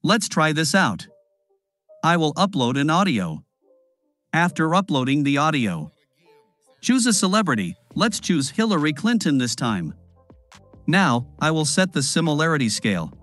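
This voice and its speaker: Guy walking thru an app tutorial, bouncy up-and-down voice, a bit high, soft music under. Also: measured pace, pauses often. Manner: instructive, lively intonation. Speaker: software tutorial presenter. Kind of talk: step-by-step screen tutorial voice-over.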